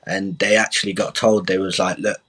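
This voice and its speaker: Frank, chatty young man, low voice. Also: little pitch movement, a little fast, a bit bright, dry recording. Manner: open, frank, chatty, restarts with "I'll be honest". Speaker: guest boxer. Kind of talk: candid podcast interview.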